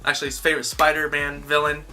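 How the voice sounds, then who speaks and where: Bright-voiced man chatting over music. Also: a little high, monotone, fast talker. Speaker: co-host of a movie review show. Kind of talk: casual conversational film review chat.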